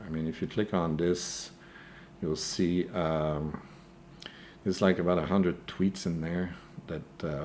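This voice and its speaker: A man with a French accent hosts a live stream and answers viewer questions as they come in. His voice sits fairly low, and he speaks slowly and unhurriedly. He hesitates often and keeps checking in with "okay?". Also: darkish tone, a little hiss or hum. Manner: hesitant, frequent "uh" and tag "okay?". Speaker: crypto news host.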